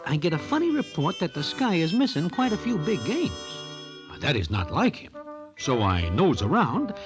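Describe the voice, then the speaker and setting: A streetwise New York storyteller from an old radio comedy. His highish voice swings widely in pitch as he delivers stiff, formal gambler's slang with a straight face. Faint music plays behind him. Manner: stilted formal slang without contractions, deadpan. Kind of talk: old-time radio comedy narration and acting.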